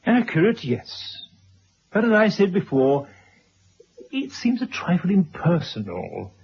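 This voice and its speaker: A courteous, precise biographer in an old radio play. The voice is very husky and lively in pitch, with formal phrasing. The recording sounds dull. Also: male voice, measured pace, heavily acted. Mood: measured, probing. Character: courteous, formal male biographer interviewing his subject. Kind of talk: 1970s radio drama acting.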